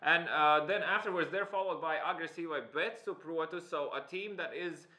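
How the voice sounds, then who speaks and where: A male esports caster with a bright, forceful voice set a little high. He talks in an upbeat, chatty way. The sound carries a roomy studio echo. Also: moderate pace. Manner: upbeat, wraps up with cheerful sign-offs. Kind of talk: live esports commentary.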